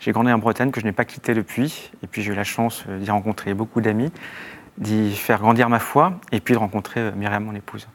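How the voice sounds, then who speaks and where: A young man from Belgium, speaking as an interview guest, soft-spoken and hesitant with many restarts as he tells his faith story. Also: fairly low pitch, fairly even intonation, brisk, slight background noise. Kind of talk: reflective faith testimony interview.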